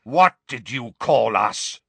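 A man voicing a proud, hostile male centaur with a rough, imperious delivery, in an audiobook. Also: fairly high pitch, lively intonation, takes it slowly, a bit bright, no room sound, voice pushed up. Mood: outraged.

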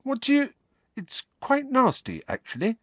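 A man reading an audiobook, voicing an anxious, clever teenage girl who explains things rapidly and earnestly. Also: a little high, very animated, no room sound, pitched above the performer's own voice. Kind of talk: audiobook character voice.

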